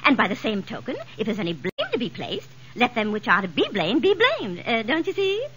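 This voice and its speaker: Gushing, bright-voiced gossipy society woman. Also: a little high, huge pitch swings, a little fast, husky, full character voice, poor audio. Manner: effusive, theatrical, chatty. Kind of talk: 1940s radio situation comedy acting. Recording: hissy vintage 1940s radio recording.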